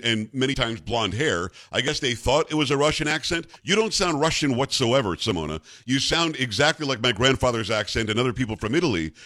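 A man's voice: mid-range voice, sharp tone. A man hosting a talk show, interviewing a guest in a friendly, conversational way with some stumbling repeats. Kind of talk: casual interview.

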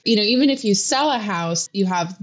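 A woman's voice: medium pitch, lively intonation, fairly quick. A young adult woman from Hawaii, a real estate reporter chatting in a casual podcast interview, with lots of fillers and restarts.

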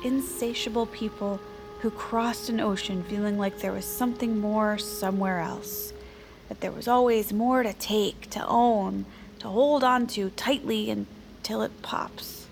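An actress playing a wry, wistful older widow in an audio drama, speaking in a deliberate storytelling way. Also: female voice, mid-range voice, fairly even intonation, creaky, slight background noise. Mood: wistful, grand.

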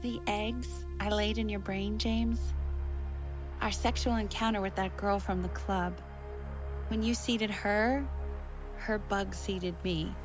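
A woman's voice: mid-range voice, fairly even intonation, slow, over music, lightly acted. A woman playing a seductive, persuasive bug hallucination living inside a man's head in an audio drama. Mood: coaxing, grandiose. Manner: coaxing, persuasive, grandiose. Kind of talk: scripted audio fiction acting.

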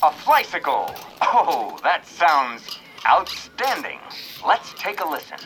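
A man's voice: fairly high pitch, wildly swooping pitch, slow, fairly bright tone, a little hiss or hum, exaggerated voice. A man playing a jovial, hammy radio show host in an old-fashioned announcer style. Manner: hammy, upbeat, punchy.

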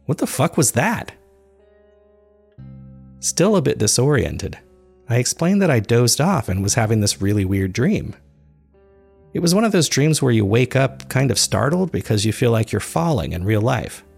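A man reading scripted first-person dramatic narration in a measured storytelling manner. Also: medium pitch, sing-song, vocal fry, darkish tone, slightly rough, soft background music. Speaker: narrator of a serial fiction podcast.

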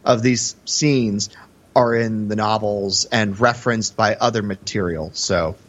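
A man's voice: fairly low pitch, fairly even intonation, a little slow, a bit bright, dull audio. A man co-hosting a podcast, talking through film in a casual, conversational way with hesitant, hedged phrasing. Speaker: podcast co-host.

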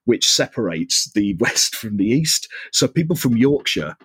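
Mancunian man, podcast guest, husky and dry, rambling and chuckling. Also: mid-range voice, very animated, unhurried, a bit bright, no echo. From northwest England. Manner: rambling, laughs often, many fillers and restarts. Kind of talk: casual chat.

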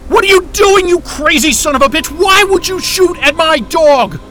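An actor in an audio drama playing a frightened but defiant captive scientist, stammering, agitated and foul-mouthed. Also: male voice, very high voice, normal speed, bright, forceful tone, a little hiss or hum. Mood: angry, panicked.

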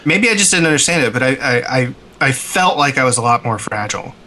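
Male co-host, bright forceful voice, mid pitch, joking, halting phrasing, casual chat. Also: expressive, slight background noise. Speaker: podcast co-host. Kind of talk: casual gaming podcast chat.

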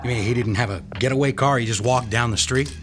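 An actor as a blunt, skeptical detective. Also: male voice, mid-range voice, quick pace, a little hiss or hum, natural voice. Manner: blunt, incredulous questioning. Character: skeptical male police detective. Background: music.

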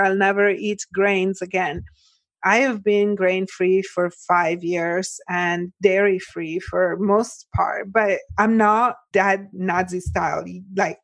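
A middle-aged woman with an Italian accent, a nutrition practitioner speaking earnestly as a guest in an interview, full of "like" and "you know" and quick restarts. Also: medium pitch, dry recording. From Italy. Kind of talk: podcast interview.

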